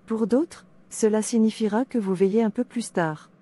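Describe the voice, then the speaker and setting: A woman's voice: medium pitch, moderate pace, dark tone. A woman reading scripted devotional narration, addressing the listener directly.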